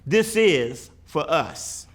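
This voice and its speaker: Slow, expressive man reading poetry aloud. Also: a little high. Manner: deliberate, emphatic phrasing with weighty pauses. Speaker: reader of a children's picture book. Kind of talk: dramatic poetry read-aloud.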